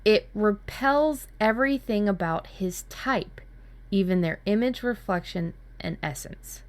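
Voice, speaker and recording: A woman talking casually as a writing workshop co-host, retelling her ideas in an informal, expressive way. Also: mid-range voice, a little slow. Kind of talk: casual workshop chat, recounting own brainstorm.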